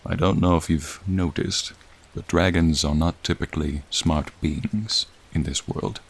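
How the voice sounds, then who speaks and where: Man voicing a deep, gravelly, gentle old dragon. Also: steady tone, exaggerated voice. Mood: reflective. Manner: slow, reflective, gently formal. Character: gentle, lonely, centuries-old male dragon, friendly and wistful. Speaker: ASMR roleplay narrator. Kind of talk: soothing ASMR roleplay storytelling. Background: rain and raindrops.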